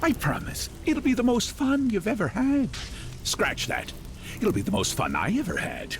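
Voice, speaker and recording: An actor playing a gleefully deranged, menacing prince of madness in a video game, theatrical and mocking. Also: male voice, sits high, wildly swooping pitch, moderate pace, a little hiss or hum, full character voice. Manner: theatrical, wildly swinging, mocking.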